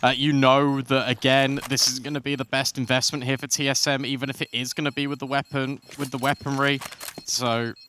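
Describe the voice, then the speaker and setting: A man doing live play-by-play commentary on an esports match, excitable and quick to build with the action. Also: mid-range voice, slight background noise. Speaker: esports caster.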